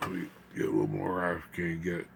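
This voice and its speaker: A man giving an informal, unscripted hands-on demonstration as a hobbyist, haltingly, muttering asides to himself as he works. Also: low-pitched, completely flat delivery. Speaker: hobbyist radio builder demonstrating his equipment. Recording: clear background noise, room ambience.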